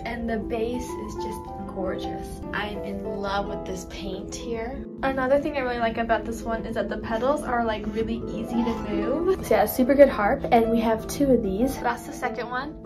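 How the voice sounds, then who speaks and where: A woman's voice: on the high side, fairly even intonation, normal speed, hardly any pauses, over loud music. A young woman with a stuffy, congested voice chatting in a bubbly, giggly way, filmed on a phone in a practice room. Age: young adult. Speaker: harp student vlogger. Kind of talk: casual vlog walkthrough. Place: university harp practice room, indoors. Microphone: handheld phone camera, moving around. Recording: distant phone mic with harp playing.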